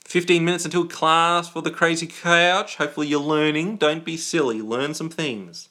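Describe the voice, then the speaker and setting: Livestream host, male, Australian accent. Bright, forward tone; casual, jokey rambling with frequent self-interruption. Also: fairly high pitch, steady tone, moderate pace.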